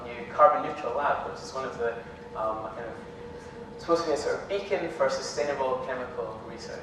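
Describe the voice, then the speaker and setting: A young Scottish man giving an academic lecture in a relaxed, hesitant way, full of ums. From Scotland. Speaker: visiting lecturer. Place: lecture hall. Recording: echoey hall from far off, with rumble.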